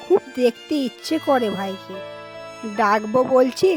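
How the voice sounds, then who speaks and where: A woman's voice: fairly high pitch, expressive, measured pace, soft background music. A woman voicing a caring but chiding village mother and fond sister in a cartoon. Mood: fond, emotional. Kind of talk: cartoon dialogue acting.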